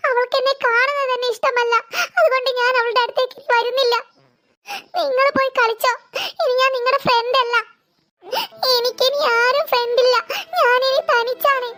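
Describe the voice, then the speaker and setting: A woman's voice: very high voice, little pitch movement, normal speed, bright, forceful tone. A woman voicing a sad, hurt little boy in a children's cartoon, plaintive and self-pitying. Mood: sulking, dejected. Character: sad, hurt little boy, sulking over best friend.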